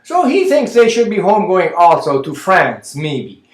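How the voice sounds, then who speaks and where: A man voicing a gossipy older Mennonite woman from rural Manitoba with a Low German accent, who rambles in scrambled word order and keeps adding "yeah?". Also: huge pitch swings, a little slow, heavily acted. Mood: rambling. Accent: Low German Mennonite English. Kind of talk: comic character monologue. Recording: roomy echo.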